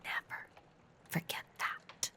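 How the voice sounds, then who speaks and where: A woman playing a possessive, obsessively devoted female werewolf lover in an intimate roleplay audio, breathy and whispery, with lingering pauses. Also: sharp tone. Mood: coaxing, possessive. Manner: slow, lingering, intimate delivery with long pauses.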